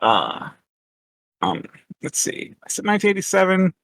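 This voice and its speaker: A man's voice: high-pitched, huge pitch swings, normal speed, gravelly, fairly bright tone, no room sound. Rambling male game master, dry close mic. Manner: loose, rambling scene-setting with fillers. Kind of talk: casual tabletop game narration.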